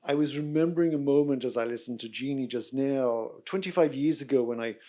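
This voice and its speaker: A man with a mellow, warm tone moderating a symposium discussion. He talks casually and rambles, with frequent ums and restarted sentences. He is introducing the next audience question. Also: medium pitch, a little fast. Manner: rambling, self-interrupting, many ums. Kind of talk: casual remarks from the podium.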